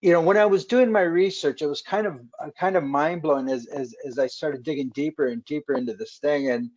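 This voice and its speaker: Guy hosting a webcast, kinda high voice, lots of uh and restarts, muffled audio. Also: darkish tone. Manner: halting, many fillers and restarts. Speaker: webcast host. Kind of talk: casual interview chat.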